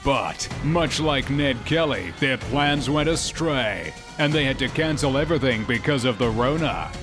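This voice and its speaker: A man's voice: medium pitch, animated, a little slow. An Australian man reading a scripted, tongue-in-cheek intro as a mock-dramatic narrator. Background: prominent music bed.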